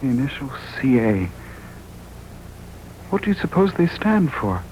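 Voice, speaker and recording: An actor playing a dazed, frightened man who has lost his memory, in an overwrought, halting 1940s radio drama style. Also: medium pitch, very animated, a little mellow. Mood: subdued, wondering. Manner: agitated, halting, overwrought delivery. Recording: muffled, hissy 1940s radio recording.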